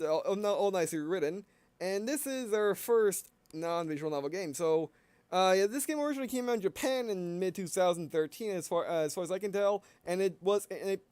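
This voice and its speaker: High-voiced man giving halting gameplay commentary. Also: darkish tone, smooth, dry recording. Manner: halting, rambling, repeats phrases. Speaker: gameplay video host. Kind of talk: casual gameplay commentary, sometimes reading game text aloud.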